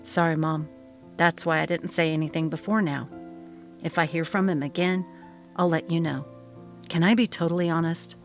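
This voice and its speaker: Woman as sober, responsible young man, low-pitched. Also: steady tone, normal speed, pauses often, faint music behind. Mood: frank, resentful. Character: responsible, earnest young adult son James.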